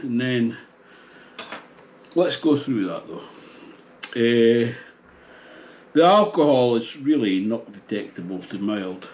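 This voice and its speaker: A man in his sixties casually reviewing a beer at home, thinking aloud in a relaxed, musing way with pauses. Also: mid-range voice. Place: small home bar room, indoors.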